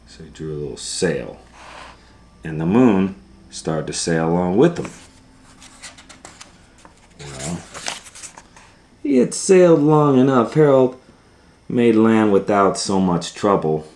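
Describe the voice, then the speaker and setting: A man's voice: sits low, big pitch swings, takes it slowly, long pauses, darkish tone. A man reading a children's storybook aloud as a storytime host, retelling it informally with musing asides. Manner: improvised asides and musing hums.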